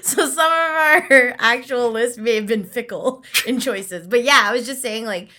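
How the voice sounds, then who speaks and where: A woman's voice: on the high side, measured pace, pushed and bright. A woman co-hosting a podcast in casual chat, talking freely with frequent fillers and laughs. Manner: chatty, laughs often, many fillers. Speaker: podcast co-host.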